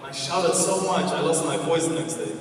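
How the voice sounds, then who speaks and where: A man in his twenties, originally from Afghanistan, hosts a large convention from the stage, energetic and quick to pull the crowd in with questions. His voice sits a little high and rings through a big reverberant hall, heard from some distance off the mic. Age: 20s. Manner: energetic, engaging the audience with questions. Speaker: event host. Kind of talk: conference stage talk. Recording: echoey hall, far from the mic.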